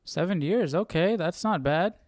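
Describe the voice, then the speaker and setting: A man's voice: high voice, moderate pace, no room sound. A man hosting a Bible study with casual live commentary, musing and questioning aloud.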